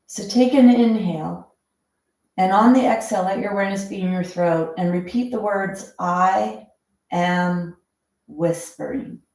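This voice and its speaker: A woman instructor leading a guided breathing meditation in a calm, soothing, measured way, leaving long pauses. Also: on the low side. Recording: very echoey, reverberant room.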